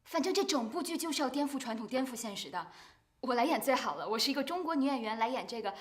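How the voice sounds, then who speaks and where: A woman with a strong Mandarin Chinese accent playing an actress in a rehearsal, telling a lively folk tale in Mandarin. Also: high-pitched, fast, reverberant. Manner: animated folk storyteller in Mandarin. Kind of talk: short film acting.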